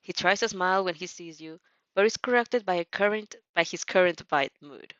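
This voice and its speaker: A woman giving an expressive, giggly story narration with spontaneous reactions, often stumbling over her words. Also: fairly low pitch, moderate pace, dry recording, lo-fi sound. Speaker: fan fiction reader and reactor.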